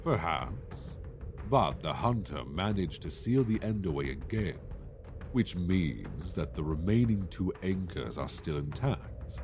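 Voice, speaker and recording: A man voices a grave, reasoning judge in a fantasy audio drama. He is very deep and stately, with expressive swings of pitch over soft music. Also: slow, heavily acted. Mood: judicious.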